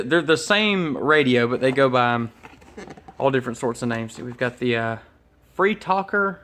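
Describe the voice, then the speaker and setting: A man's voice: mid-range voice, a bit bright. Man casually thinking aloud at a workbench. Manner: hesitant, thinking aloud as he goes. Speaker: host of an electronics unboxing channel. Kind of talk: casual unscripted product unboxing commentary. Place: indoors at a workbench.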